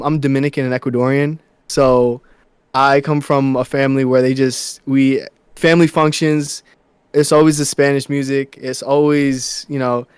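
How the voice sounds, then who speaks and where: A young man from New York, a musician guest in a casual interview, talking softly and haltingly with many fillers and restarts. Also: mid-range voice, clear, resonant tone, dry recording.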